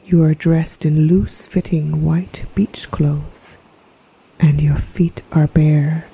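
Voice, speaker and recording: A woman narrating a guided meditation in a soft, breathy, half-whispered voice with a gentle, soothing, hypnotic manner. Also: sits low, little pitch movement, slow pace, poor audio. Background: relaxation music.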